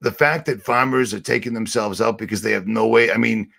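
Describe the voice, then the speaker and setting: Gravelly man ranting on a livestream. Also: on the low side, steady tone, a little fast, fairly bright tone. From Boston area. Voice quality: raspy, gravelly. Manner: heated, sarcastic, rambling. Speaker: channel host.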